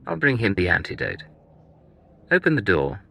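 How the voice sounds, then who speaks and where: A secret agent from a video game, cool and curtly threatening. The voice is gravelly and forceful, with lively swings in pitch. He sounds like a practised, smooth deceiver. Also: moderate pace, exaggerated voice. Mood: cold, threatening.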